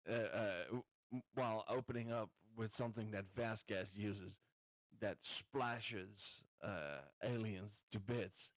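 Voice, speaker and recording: A man's voice: on the low side, takes it slowly, no echo. Man chatting as a tabletop player, dry sound. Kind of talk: casual game chat.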